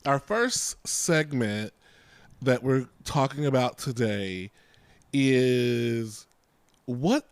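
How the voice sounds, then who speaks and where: A man co-hosting a casual podcast, playful and teasing. His voice jumps up in pitch when he gets excited. The recording is dry and close, with no room sound. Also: very slow, clear, resonant tone, no room sound. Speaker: podcast co-host. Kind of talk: casual chat.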